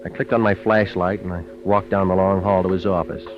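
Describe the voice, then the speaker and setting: An actor playing a wisecracking private detective, deadpan and clipped, narrating his case in a vintage radio noir drama. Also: low-pitched, a little fast, over music, close to an everyday voice. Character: wisecracking, hard-boiled male private detective. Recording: dull vintage radio recording.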